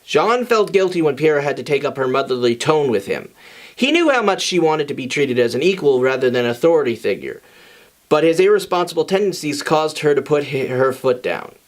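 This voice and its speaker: Man with a slightly high voice reading aloud. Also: very animated, brisk. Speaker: fan fiction reader and channel host. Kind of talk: informal scripted story narration.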